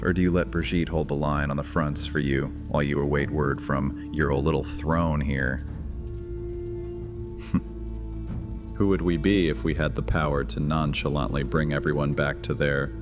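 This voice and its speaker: An actor playing an ancient, imperious vampire high priest in a horror audio drama, commanding, mocking and theatrical. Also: male voice. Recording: long cavernous reverb, faint music underneath.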